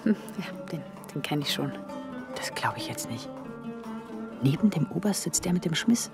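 Eager young daughter, creaky voice, music underneath. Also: female voice, low-pitched, expressive, normal speed. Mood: hushed, agitated. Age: young adult. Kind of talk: German radio drama acting with inner monologue. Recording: studio radio drama with music underneath.

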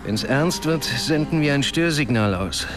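A man's voice: mid-range voice, animated, measured pace, natural voice. Composed, knowing man, acted, music behind. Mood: calm, assured. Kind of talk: German radio drama acting.

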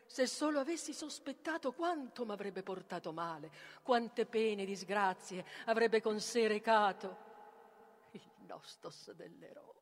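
A woman playing a bitter, betrayed Greek hero in a declamatory tragic stage monologue, moving between whispers and outcries. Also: a little high. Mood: cursing, then whispered grief. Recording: reverberant hall with long echo.

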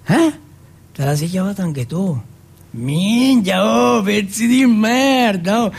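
Man playing a gravelly, excitable Turin street kid. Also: high voice, takes it slowly, pitched above the performer's own voice, full character voice. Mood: agitated, pleading. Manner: slangy, repetitive, swearing at every breath. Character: excitable, foul-mouthed teenage street boy from Turin's projects.

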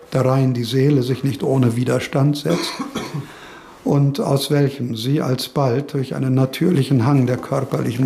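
An actor playing a pensive, scholarly eighteenth-century male philosopher in a radio drama, speaking in a deliberate, formal, learned manner. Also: mid-range voice, vocal fry, mellow, husky. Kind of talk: radio drama monologue and lecturing.

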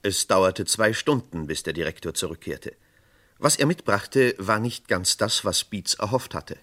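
A man narrating a vintage radio crime drama in a measured, matter-of-fact way. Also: fairly low pitch, a bit bright.

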